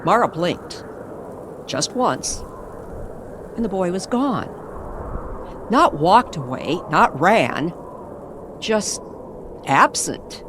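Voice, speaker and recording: Slow, dramatic woman storyteller with lively pitch. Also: noisy background. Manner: dramatic, measured delivery with weighted pauses. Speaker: co-narrator of a serial story. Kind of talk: scripted eerie story narration, old-time radio style.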